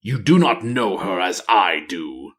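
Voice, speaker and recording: A sneering male commander from a fantasy tale, acted by a man reading aloud. He speaks low and slowly, with cold contempt. His orders are curt and commanding. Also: lively intonation, a bit bright.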